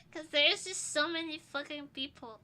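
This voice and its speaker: Giggly tipsy high-voiced woman streamer. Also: wildly swooping pitch, unhurried, pushed and bright. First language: Portuguese. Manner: giggly, rambling, crude jokes. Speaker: vtuber streamer. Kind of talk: casual stream chat.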